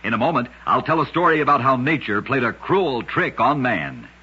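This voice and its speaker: A man's voice: medium pitch, big pitch swings, normal speed, sharp tone, breathy edge. A man narrating short curiosities for radio in a scripted, dramatic style with emphatic storytelling. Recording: dull lo-fi old radio recording.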